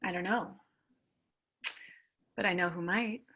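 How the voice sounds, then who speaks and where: A woman's voice: fairly low pitch, fairly even intonation, unhurried, slightly rough, muffled recording. A woman playing an earnest, determined member of a mission team in a scripted drama read. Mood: thoughtful.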